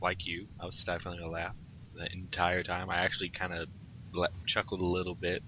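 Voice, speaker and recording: A man's voice: sits low, monotone, brisk, pushed and bright, slight background noise. A man reading comic fan fiction aloud as co-host of a read-along. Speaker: co-host and story reader.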